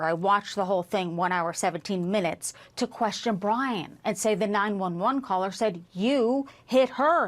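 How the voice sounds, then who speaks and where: A woman hosting a broadcast news discussion and putting questions to panelists. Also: mid-range voice.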